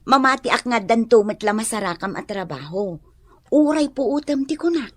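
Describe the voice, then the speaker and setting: A woman's voice: on the high side, expressive, moderate pace, dry recording. An actress playing a kindly, encouraging young woman in a radio drama, with an Ilocano accent. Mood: reassuring.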